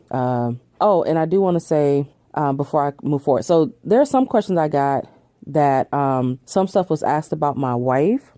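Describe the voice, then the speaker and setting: Low, mellow-voiced woman podcaster. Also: no echo. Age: 30s. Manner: chatty, laughs often, many ums. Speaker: podcast host. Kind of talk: casual solo Q&A chat.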